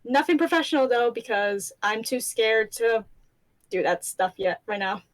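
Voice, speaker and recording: A woman's voice: a little high, lively intonation, moderate pace, noisy background. A young woman, a hobby voice actor, rambling casually with a few giggles on a panel.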